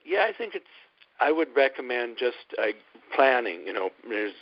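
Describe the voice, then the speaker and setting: An older man with a Midwestern American accent, a guest doctor answering interview questions in a measured, hesitant way with many ums. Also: on the low side. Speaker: guest obstetrician. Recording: muffled radio audio.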